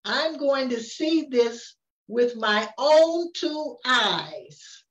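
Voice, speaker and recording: An older woman reading a picture book aloud in an expressive storytelling manner, with dramatic emphasis. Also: sits high, very slow. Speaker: story reader. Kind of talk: picture-book read-aloud with casual asides.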